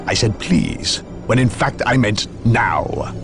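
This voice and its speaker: An actor playing a stern, imperious inquisitor in a sci-fi video game, speaking in a formal, measured, condescending way. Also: male voice, lowish voice, very animated, creaky, over music, heavily acted. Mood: cold, probing. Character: stern, imperious male inquisitor of a grim space empire. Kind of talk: video game cutscene acting.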